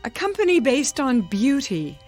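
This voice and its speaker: A woman's voice: high-pitched, very animated, measured pace, a little mellow, soft background music, heavily acted. An actress playing a vain, stubborn, hard-of-hearing woman who runs a cosmetics company, imperious and theatrical, in a radio drama. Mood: proud, defensive. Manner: imperious, theatrical, mishears people.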